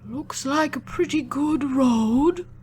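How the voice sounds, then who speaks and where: A woman voicing an anxious, doting and sentimental camel in a storybook, with a drawn-out, fussy, emotional delivery. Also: sits high, a little mellow, voice pushed up. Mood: curious.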